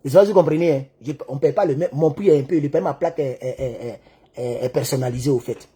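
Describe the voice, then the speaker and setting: A man giving an animated political monologue, acting out the dialogue in his stories. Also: medium pitch, fast talker, husky. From Côte d'Ivoire. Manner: excitable storyteller who acts out dialogue. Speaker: online political commentator.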